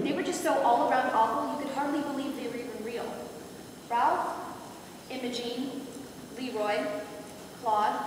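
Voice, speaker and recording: Woman as wry kid narrator, readers theater, higher voice, long hall echo. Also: natural voice. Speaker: skit narrator and child character. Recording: noisy echoey hall, far from mic.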